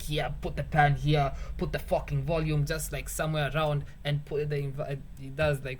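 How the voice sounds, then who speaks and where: A young man with a Kenyan accent, a music producer giving a casual, rambling beat-making tutorial, joking and swearing, dropping Swahili phrases. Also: medium pitch. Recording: faint background noise.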